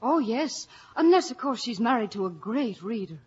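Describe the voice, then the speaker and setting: A woman's voice: a little high, expressive, normal speed, breathy edge. An actress with an Irish accent playing a guileless, romantic young village woman, earnest and frank, in 1940s radio style. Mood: frank, coy. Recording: muffled old radio broadcast.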